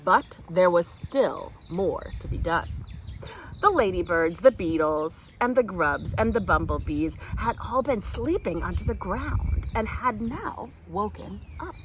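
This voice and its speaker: A woman reading a children's picture book aloud in an expressive, animated storytime style. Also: mid-range voice, unhurried, a little hiss or hum. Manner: animated, acts out the characters' lines. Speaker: storytime reader.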